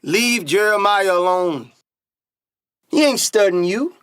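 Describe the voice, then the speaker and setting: A man's voice: high voice, lively intonation, slow pace, fairly bright tone, smooth, dry recording, exaggerated voice. Radio play: male actor as young daredevil pilot, protective older brother, folksy vernacular, dry sound. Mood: exasperated. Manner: folksy Southern-style vernacular. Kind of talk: stage-style radio play acting.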